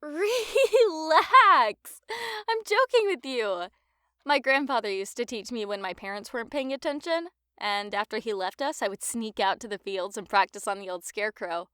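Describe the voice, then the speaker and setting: A woman's voice: on the high side, animated, moderate pace, pushed and bright, clean tone, no echo, clean studio sound. A woman voicing a young female adventurer in a fantasy audio drama, with a casual, blunt, sarcastic manner. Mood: teasing.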